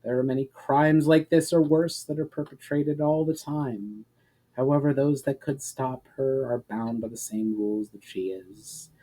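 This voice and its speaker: A man voicing a wise, mysterious woman guide in a fantasy story, explaining in a formal, measured manner. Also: medium pitch, steady tone, dark tone, lightly acted, low-quality recording. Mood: explanatory. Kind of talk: fantasy audiobook dialogue.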